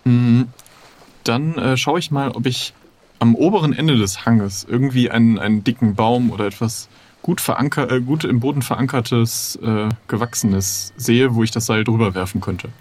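A man's voice: mid-range voice, moderate pace, a little mellow. Man thinking aloud in a roleplay. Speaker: player leading an improvised pirate adventure game. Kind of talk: improvised tabletop roleplay talk.

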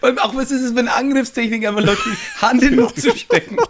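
A man with a notably high voice chats informally as a podcast co-host. He talks like a storyteller, readily dropping into quoted dialogue. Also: sing-song, fast, slight background noise. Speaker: podcast co-host and improv performer. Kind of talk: casual chat, acting out little quotes.

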